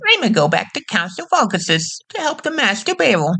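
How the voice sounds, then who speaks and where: Man putting on a shrill scheming villain mage. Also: very animated, a little fast, creaky, over music, heavily acted. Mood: gleeful, scheming. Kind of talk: parody anime dub.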